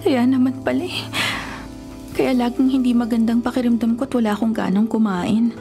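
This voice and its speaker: A woman's voice: a little high, fairly even intonation, fairly quick, creaky, dark tone, faint music behind. A woman playing a lovesick young daughter of a rich family in a Filipino radio drama, speaking in a plaintive, earnest way. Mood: wistful, longing. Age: young adult.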